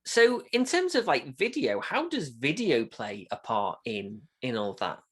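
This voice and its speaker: A man hosts a casual chat in a mid-range voice. He sounds enthusiastic and chatty, and he jumps in with quick, encouraging replies. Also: medium pitch, very animated, a bit bright. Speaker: host.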